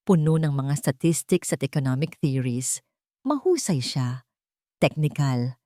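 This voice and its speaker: A woman's voice: low voice, darkish tone, dry recording, clean studio sound. A woman reading a scripted dramatic story as narrator.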